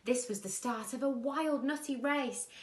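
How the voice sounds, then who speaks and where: A woman reading a children's rhyming picture book aloud as a bedtime story, expressively and with dramatic pauses. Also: high voice. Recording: echoey room.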